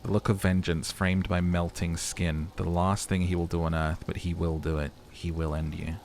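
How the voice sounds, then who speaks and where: Deep-voiced man narrating a game playthrough. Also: flat delivery, normal speed, darkish tone. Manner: dramatic storytelling mixed with casual, sweary asides. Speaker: let's player. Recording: faint background game noise.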